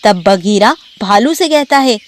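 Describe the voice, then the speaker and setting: A woman's voice: medium pitch, lively intonation, measured pace, a little hiss or hum. A woman narrating a scripted children's animal story.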